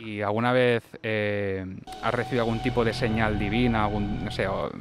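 A man's voice: on the low side, level tone, normal speed, over loud music. A young man hosting a mock-serious street interview, asking absurd questions with a straight face. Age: young adult. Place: outdoor town streets. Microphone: handheld furry mic.

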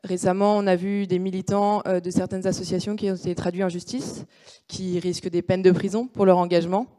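A woman's voice: lowish voice, little pitch movement, fairly quick, warm tone. A young woman asking a hesitant question at a public debate, with many fillers, speaking into a handheld microphone in a large room. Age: young adult. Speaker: audience participant.

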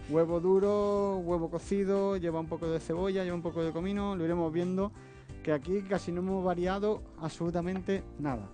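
A man with a slight Catalan accent demonstrating a recipe as a guest chef in a television kitchen. He explains each step patiently and often checks in with a quick "eh?". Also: high voice, fairly even intonation, warm tone. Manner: explains step by step, tags phrases with "eh?". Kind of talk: cooking demonstration. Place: TV studio kitchen. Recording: faint background music.

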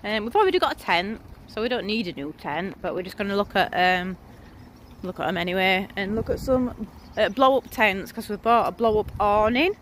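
A woman's voice: mid-range voice, brisk. A chatty woman talks into a phone at arm's length. Her voice sits in a middle range, with faint outdoor noise behind her. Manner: chatty, informal, lively. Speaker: vlogger. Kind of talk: casual vlog chat. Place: home, then outdoor shop tent display. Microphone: phone held at arm's length.

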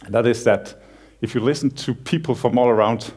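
A man in his thirties with a Danish accent, giving a relaxed conference talk in a cinema hall with dry jokes and halting restarts. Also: mid-range voice, husky. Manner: relaxed, self-deprecating humor with frequent halting restarts. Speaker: conference speaker. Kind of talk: conference talk, casual and joking. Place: large cinema hall on stage.

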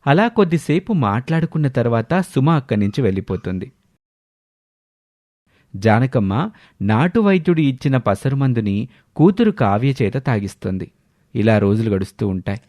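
A man's voice: medium pitch, sing-song, moderate pace, pauses often, a little mellow, no echo. A man narrating a scripted story in the manner of a storyteller.

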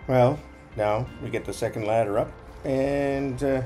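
A man's voice: medium pitch, steady tone, unhurried, mellow, faint music behind. A man giving a casual, folksy running commentary as a vlog host, explaining as he goes.

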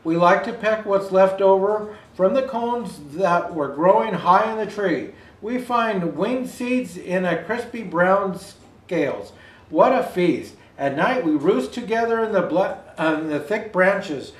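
High-voiced older man reading a storybook, roomy. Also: a little slow. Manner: expressive read-aloud with asides to viewers. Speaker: storyteller in Santa costume. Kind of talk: reading a children's picture book aloud. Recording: roomy indoor echo.

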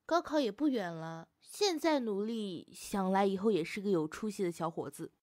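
A proud, encouraging schoolteacher played by a woman in a campus radio drama. The voice is mid-pitched and recorded dry. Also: lively intonation, moderate pace, a little mellow, no room sound, close to an everyday voice. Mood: approving. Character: proud, approving high-school teacher. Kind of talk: amateur campus audio drama acting.

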